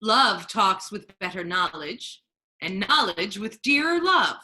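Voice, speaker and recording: Bright, forceful woman as a righteous Shakespearean friar, formal and measured, mid-pitched. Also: sing-song, exaggerated voice. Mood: indignant. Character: Duke disguised as a male friar, dignified, indignant. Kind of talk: staged reading of Shakespeare.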